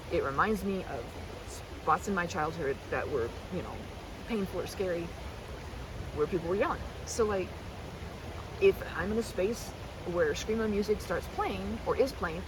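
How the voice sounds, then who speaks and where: A woman chatting in a rambling, halting, earnest way, as if to a best friend in an ASMR roleplay, repeating herself and delivering things expressively. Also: medium pitch, noisy background. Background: riverside park ambience.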